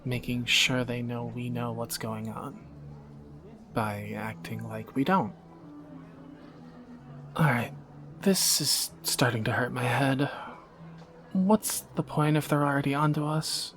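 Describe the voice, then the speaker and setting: A man's voice: medium pitch, takes it slowly, pauses often, over music, lightly acted. A man playing a wry young thief in a romantic audio roleplay, teasing and sardonic in a conversational way, anxious yet affectionate. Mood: tense, hushed. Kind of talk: scripted romantic audio roleplay, spoken to the listener.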